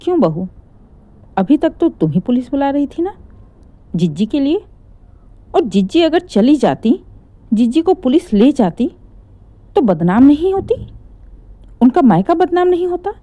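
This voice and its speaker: A woman's voice: high voice, big pitch swings, unhurried, pauses often, warm tone, no echo, voice pushed up. A woman voicing a stern, sarcastic middle-aged mother-in-law in a story, scolding with pointed rhetorical questions.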